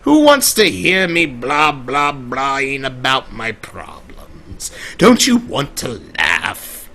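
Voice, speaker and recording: A man playing a centuries-old male trickster from a pony world, talking to an audience in a theatrical, flamboyant, rambling way with a gravelly, husky voice. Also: mid-range voice, wildly swooping pitch, takes it slowly, pushed and bright, slight background noise, full character voice. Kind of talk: live roleplay monologue to an audience.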